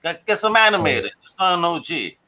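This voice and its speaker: A man's voice: fairly high pitch, big pitch swings, fast talker, over a noisy crowd. A man from Brooklyn hosting a podcast, chatting casually and eagerly hyping up his guests. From Brooklyn, New York. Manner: enthusiastic, hypes guests up. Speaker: podcast host. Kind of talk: casual chat.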